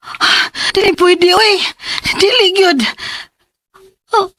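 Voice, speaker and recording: A woman's voice: very high-pitched, fairly even intonation, slow, sharp tone, exaggerated voice. An actress playing a trusting, desperate young female job seeker in a radio drama, with a Cebuano accent. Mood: anxious. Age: young adult. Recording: music bed behind.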